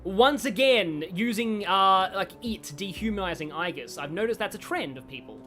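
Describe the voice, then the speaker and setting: A woman streams with very lively, swinging intonation at a middling pitch. She rambles casually and wryly as she comments, with game music playing behind her. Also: moderate pace. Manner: rambling, chatty, wry.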